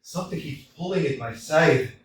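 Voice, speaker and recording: A man's voice: on the high side, moderate pace, natural voice. A man voicing an anxious, quarrelsome young landowner who keeps complaining about his heart, in a staged play reading recorded in an echoey hall from a distance. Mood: fretful. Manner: fretful, complaining, flustered. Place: small theatre stage.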